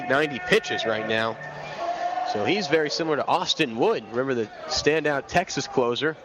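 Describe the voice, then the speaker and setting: A young man calling live baseball play-by-play for radio, switching between clipped recaps of the count and flowing bits of detail. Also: mid-range voice. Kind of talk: live radio sports commentary. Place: outdoor baseball stadium broadcast booth. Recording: live outdoor broadcast with loud ballpark noise. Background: loud stadium ambience.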